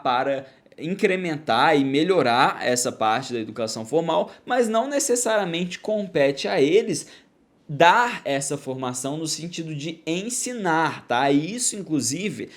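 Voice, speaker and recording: A man's voice: fairly high pitch, darkish tone. A man hosting an education podcast, explaining in a didactic way and often checking in with the listener. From Brazil. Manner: didactic, often tags sentences with "tá?". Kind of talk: explanatory solo talk.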